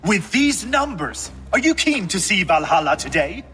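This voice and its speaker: An actor playing a seasoned, middle-aged Viking warlord in a video game, wry and commanding. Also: male voice, high-pitched, big pitch swings, moderate pace, sharp tone, in a large hall, faint music behind, full character voice. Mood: skeptical. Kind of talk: dramatic video game acting.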